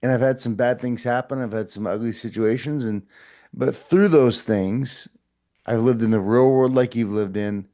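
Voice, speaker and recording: Middle-aged man, motivational podcast host, earnest, encouraging, repetitive, mid-pitched voice. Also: fairly even intonation, normal speed, mellow. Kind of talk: casual motivational talk to camera.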